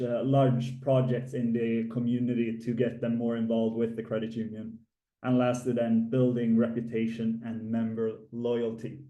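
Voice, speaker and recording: Halting man in an echoey room. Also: mid-range voice, mellow. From Finland and Sweden. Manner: halting, frequent filler pauses. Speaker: webinar presenter. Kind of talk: slide presentation. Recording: echoey room, distant mic.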